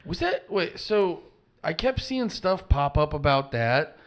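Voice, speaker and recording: A man co-hosting a podcast, telling stories in relaxed casual chat full of slang and swearing. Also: on the high side, measured pace, faint music behind. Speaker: podcast co-host.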